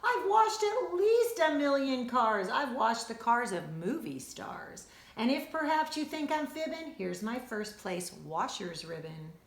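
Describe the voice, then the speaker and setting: Storybook handyman helper, played by a woman: bossy, jolly, chirpy, very animated delivery, roomy sound. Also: fairly high pitch, measured pace, few pauses. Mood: cheerfully boastful. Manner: chirpy, exclamatory, coaxing. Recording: roomy, echoing indoor sound.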